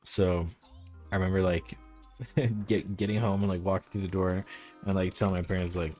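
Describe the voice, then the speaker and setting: A man in his twenties with a deep, calm voice, chatting casually on a stream and rambling with lots of "like". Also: normal speed, faint music behind. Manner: laid-back, rambling, heavy use of "like". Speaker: game developer streaming.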